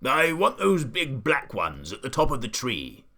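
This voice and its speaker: A man's voice: mid-range voice, huge pitch swings, a little slow, sharp tone, full character voice. A man voicing a blustering, pompous, hot-tempered old aristocratic duke from a storybook, bellowing his lines. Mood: demanding, impatient. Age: older. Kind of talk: storybook character voice.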